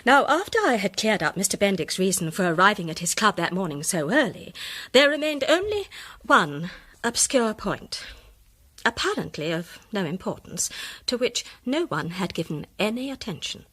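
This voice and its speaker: An actress playing a confident, briskly superior amateur detective with a British accent, speaking in articulate, assured radio drama style. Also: female voice, medium pitch, sing-song, fairly bright tone. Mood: assured, incisive. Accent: British English. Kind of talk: radio drama acting, polished monologue.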